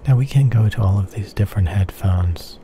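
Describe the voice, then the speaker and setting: A man talks through headphone measurements in an ASMR-like manner. He repeats his words and often sinks to a breathy whisper. His pitch sits low and his tone is very dark. Faint noise is heard behind him. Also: brisk. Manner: repeats phrases softly, often in unvoiced whispers. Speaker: headphone reviewer. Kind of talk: slow whispery ASMR-style tech explanation.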